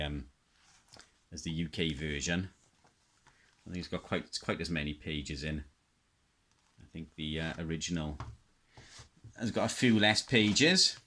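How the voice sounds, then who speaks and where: A man's voice: very deep voice, sharp tone. A middle-aged man from Wales with a South Welsh accent, talking off the cuff in a hesitant, rambling, reminiscing way as he shows his hobby. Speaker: radio hobbyist presenter. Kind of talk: casual unscripted show-and-tell.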